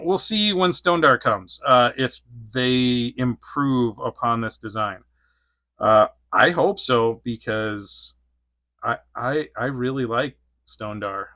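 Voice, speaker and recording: A man's voice: medium pitch, sharp tone, no echo. A man in his forties reviewing an action figure in a casual, rambling way, laughing often between thoughts. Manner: rambling, giggly, lots of fillers. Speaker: toy reviewer.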